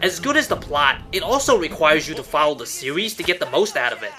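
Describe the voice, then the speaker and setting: A man's voice: a little high, big pitch swings, fast, bright, forceful tone. A man narrating a scripted countdown review as host, with emphatic delivery and wry asides, over music. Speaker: host of an online cartoon countdown show. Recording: music under the voice. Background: background music.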